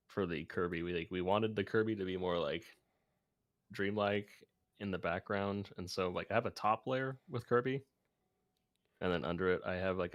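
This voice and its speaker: A man's voice: sits low, no room sound, studio quality. A man talking informally and self-deprecatingly as he walks through his video editing on a casual livestream. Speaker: video editor and streamer.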